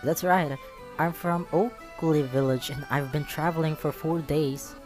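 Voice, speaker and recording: Excitable small-town dog lad for a visual novel, voiced by a man, pitched up and friendly, over music. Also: unhurried, natural voice. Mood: eager. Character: excited, friendly young male dog from a country village. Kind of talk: visual novel character read aloud. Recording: music playing behind the voice.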